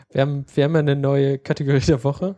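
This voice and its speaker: A man's voice: medium pitch, level tone, a little fast, dark tone, no room sound. A man co-hosting a casual podcast who rambles through his stories and laughs often. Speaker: podcast co-host. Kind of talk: casual chat.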